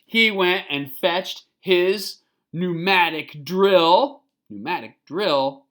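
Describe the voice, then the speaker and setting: A picture book reader giving an expressive children's read-aloud, pausing to explain words simply and ask little check-in questions. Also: mid-range voice.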